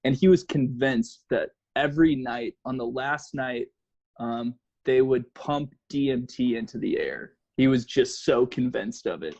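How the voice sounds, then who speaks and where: A male podcast host with a noticeably husky voice at a medium pitch. He chats casually and jokingly, sometimes putting on a mock-serious tone. The sound is a little dull and lo-fi. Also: unhurried, a little mellow. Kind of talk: casual comedic podcast chat.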